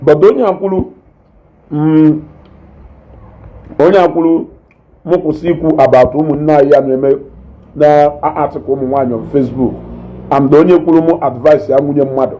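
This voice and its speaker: A man with a Nigerian accent and a naturally loud voice, hosting a casual live stream, reading viewer comments and mixing English with Igbo while he eats. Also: a little high, fairly even intonation, a little fast, pauses often, low-quality recording. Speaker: online show host reading viewer comments.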